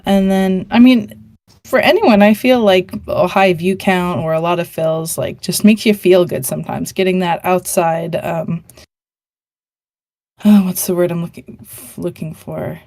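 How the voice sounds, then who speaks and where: A young woman writer on a panel, talking casually and hesitantly, with many fillers and pauses. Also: on the low side, steady tone, a little mellow, dry recording, studio quality.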